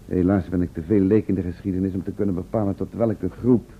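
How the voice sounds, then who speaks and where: A man's voice: sits low, steady tone, fast talker, slight background noise. A man playing a professor's curious, sceptical assistant in a Dutch radio play, speaking formally with dry irony. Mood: thoughtful, uneasy. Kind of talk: Dutch radio drama acting. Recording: narrow, tinny old radio recording.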